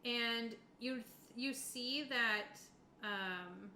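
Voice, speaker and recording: A woman's voice: on the high side, very slow, pushed and bright. A woman running a tabletop game as game master, narrating casually and explaining as she goes. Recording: roomy echo with clear background noise.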